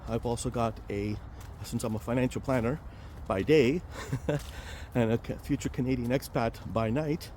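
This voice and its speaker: A man chats his way through a casual outdoor vlog, wandering from point to point with plenty of fillers and restarts. His voice is mellow and warm, and a faint hum of outdoor noise and traffic sits under it. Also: medium pitch, fairly even intonation, moderate pace. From Romania. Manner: rambling, chatty, many fillers and restarts. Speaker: vlog host, financial planner. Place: outdoors in a park near a highway.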